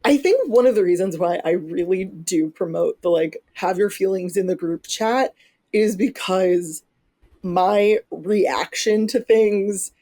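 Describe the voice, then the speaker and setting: A woman's voice: mid-range voice, slow pace, mellow. A woman in her thirties hosting a podcast, chatting casually, laughing often and swearing now and then. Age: 30s. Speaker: podcast host. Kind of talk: casual chat.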